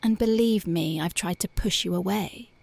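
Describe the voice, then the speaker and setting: A woman voicing a tough but devoted young punk girlfriend in an ASMR roleplay, talking softly and intimately close to the mic, often dropping to a whisper. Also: lowish voice, moderate pace, gravelly, dry recording. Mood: tender, dreamy. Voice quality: soft, intimate, partly whispered. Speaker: voice actress.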